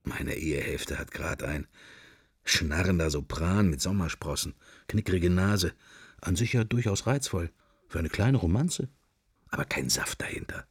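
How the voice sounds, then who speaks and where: A man's voice: low-pitched, animated, unhurried, husky, no room sound, clean studio sound, heavily acted. An actor playing a man's wandering, wry and melancholy inner thoughts in fragments, for a radio drama. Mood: wry musing. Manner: murmured, fragmentary, introspective. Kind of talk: radio drama interior monologue.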